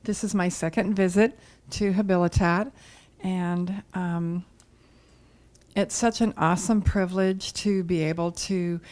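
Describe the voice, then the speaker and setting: A woman's voice: lowish voice, a little mellow. A woman from the Seattle area, a volunteer practitioner, speaking with enthusiasm and frequent ums. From Seattle area, United States. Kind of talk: casual personal testimony.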